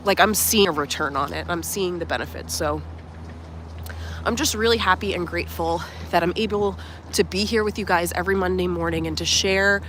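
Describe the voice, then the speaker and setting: A woman's voice: lowish voice, brisk. A young woman talks to her live-stream viewers while walking outside, her voice a touch nasal from a cold. She sounds earnest and reflective and drifts through plenty of "um"s and "like"s. A faint outdoor hum sits behind her. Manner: warm, earnest, reflective, many fillers like "um" and "like". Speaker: life coach and channel host. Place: outdoors at a resort at sunrise.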